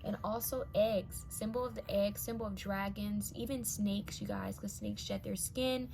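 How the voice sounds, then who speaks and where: A young woman giving a casual pick-a-card tarot reading to her viewers, her voice a little raspy from many readings, now and then dropping to a whisper as she reads the cards. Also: mid-range voice, steady tone, normal speed, noisy background. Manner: intuitive, addresses viewers directly, drops to whispers while reading cards.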